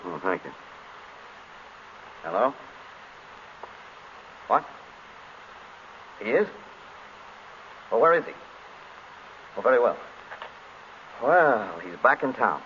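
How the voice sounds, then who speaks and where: An actor playing a fussy, harried lawyer in a 1930s radio drama, polite and hesitant, on a muffled, hissy old broadcast. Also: male voice, mid-range voice, very animated, slow pace, long pauses. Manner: hesitant, throat-clearing, polite.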